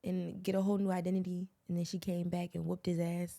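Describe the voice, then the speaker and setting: A woman's voice: fairly low pitch, completely flat delivery, fast, vocal fry, warm tone. A woman co-hosting a podcast, chatting casually and retelling a story in an easy, talkative way. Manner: chatty, recounts plots, laughs easily. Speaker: podcast co-host. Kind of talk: casual chat.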